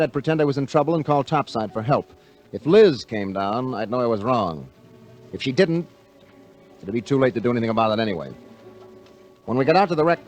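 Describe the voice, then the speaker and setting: An actor playing a suspicious, brooding American diver, terse and tough, in a 1950s radio drama. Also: male voice, medium pitch, lightly acted. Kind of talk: 1950s radio drama acting with first-person narration. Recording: vintage radio broadcast with music.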